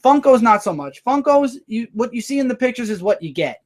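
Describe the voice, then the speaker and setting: A man hosting a casual livestream, rambling through viewer comments with quick asides. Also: very high voice, very animated. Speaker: livestream host.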